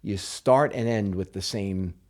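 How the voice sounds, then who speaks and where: A man's voice: on the low side, unhurried, a little mellow. An American man co-hosting a comedy podcast, telling a history story in a playful, hammy way with jokey asides. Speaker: podcast co-host presenting a story.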